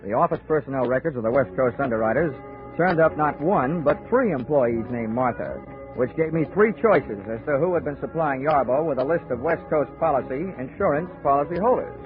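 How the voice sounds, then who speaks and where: A man's voice: medium pitch, animated, fairly quick, music in the background, natural voice. An actor playing a wisecracking, hard-boiled male insurance investigator, sardonic and tough, in 1940s radio noir style. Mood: wry narration. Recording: tinny 1940s radio recording.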